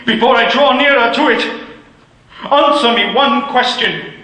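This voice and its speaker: Old miser, high and bright, slow drawn-out delivery, played by a man, radio play, roomy reverb. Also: exaggerated voice. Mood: urgent. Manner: anguished, rising to desperate cries. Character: older male miser, frightened and pleading. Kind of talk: radio drama acting. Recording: very roomy reverb, faint music.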